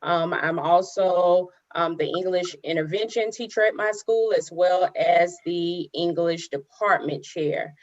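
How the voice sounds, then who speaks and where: A woman from Alabama, a high school English teacher, speaking earnestly on an education panel with frequent pauses. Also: muffled recording, a little hiss or hum. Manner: earnest, measured, frequent um and you know. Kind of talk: panel discussion.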